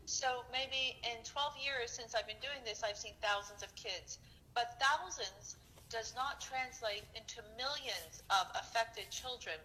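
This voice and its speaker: A middle-aged woman pediatrician speaks over a phone line in a lively, animated way. She laughs often and acts out what she says to others. Her voice is bright and slightly high, with a faint hiss behind it. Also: measured pace. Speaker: pediatrician guest. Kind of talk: phone interview, animated storytelling.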